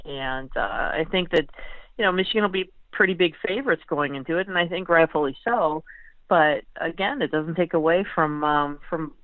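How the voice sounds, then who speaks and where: A woman's voice: deep voice, normal speed, no echo. A tinny, narrow phone connection carries a woman's voice, close and dry. She is a sports writer guesting on a talk show, chatting casually and working out her opinions aloud, often qualifying them as she goes. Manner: chatty, hedging, thinking aloud. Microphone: phone call. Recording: tinny, band-limited phone line.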